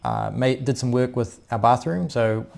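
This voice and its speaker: A middle-aged man with a New Zealand accent, chatting casually as co-host of a livestream, relaxed and rambling with many restarts. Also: medium pitch, normal speed, gravelly. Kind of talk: casual chat.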